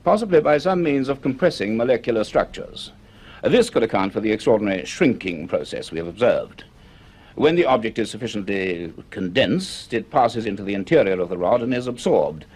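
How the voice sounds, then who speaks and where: Grave, low-voiced British scientist. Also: male voice, animated, a little mellow, slightly rough. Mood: grave explaining. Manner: measured, precise, formal. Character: earnest, grave male university scientist. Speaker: actor, lead and narrator. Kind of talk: British radio serial drama acting.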